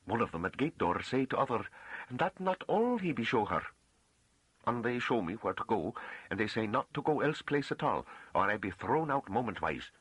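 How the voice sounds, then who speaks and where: A man voicing a timid yet fierce young peasant farm woman for an audiobook, breathy and earnest, in rustic broken speech. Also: mid-range voice, very animated, normal speed, fairly bright tone, dry recording, pitched above the performer's own voice, low-quality recording. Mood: bashful, frightened.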